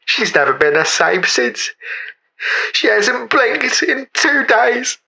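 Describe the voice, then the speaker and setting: An aggrieved British former creator played by a woman, high and sharp-voiced. She rambles melodramatically, like an interviewee in a comic mock documentary. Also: very animated, takes it slowly, gravelly, husky, heavily acted. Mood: indignant, aggrieved. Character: indignant, aggrieved female former ASMR creator.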